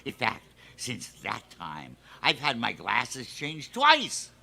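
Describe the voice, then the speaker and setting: An actor playing a folksy, lovestruck old custodian in an old-time radio comedy. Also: male voice, medium pitch, huge pitch swings, slow pace, gravelly, bright, forceful tone, heavily acted. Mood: smitten, insistent. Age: older. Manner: folksy, drawling rustic turns of phrase.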